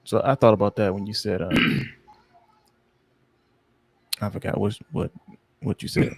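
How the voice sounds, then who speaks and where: A man co-hosting a podcast in casual chat, rambling, low-key and sleepy-sounding, often losing his train of thought. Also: steady tone, measured pace, gravelly, dry recording. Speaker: podcast co-host.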